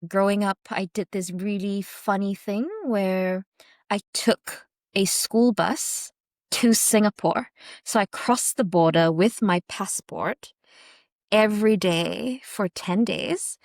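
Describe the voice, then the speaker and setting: A woman's voice: medium pitch, slow pace, dry recording. A woman from Malaysia, a podcast guest, telling her life story in a chatty, casual way and laughing easily. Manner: chatty, laughs easily, frequent fillers and restarts.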